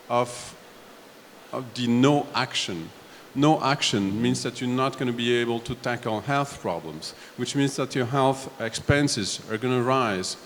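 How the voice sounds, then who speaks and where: Man explaining points in an echoey hall. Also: mid-range voice. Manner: halting, builds points step by step. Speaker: panelist. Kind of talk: panel discussion answer. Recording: echoey hall, faint background noise.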